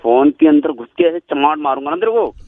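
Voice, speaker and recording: A man's voice: on the high side, lively intonation, fairly quick, heavy background noise. A man answering a phone call, growing irritated and blunt with the caller. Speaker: prank call target. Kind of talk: heated phone argument.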